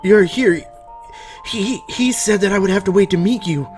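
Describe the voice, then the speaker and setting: A man plays a lonely ghost of a young woman in a high voice. She is eerie and plaintive, halting and repeating herself over music in a story reading. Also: lightly acted. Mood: yearning.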